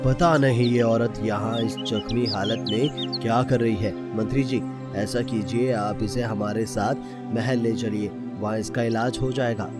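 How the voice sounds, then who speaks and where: A man acts a kindly storybook king in a cartoon. He speaks with regal formality at a medium pitch, with music clearly behind him. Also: fairly even intonation, unhurried, few pauses, natural voice. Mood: concerned. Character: kindly, dignified king of a fairy-tale kingdom.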